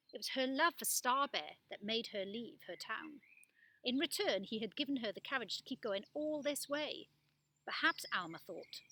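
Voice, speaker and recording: A woman giving an expressive, animated reading of a children's story. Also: on the high side. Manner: animated delivery with acted-out dialogue. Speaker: storybook reader.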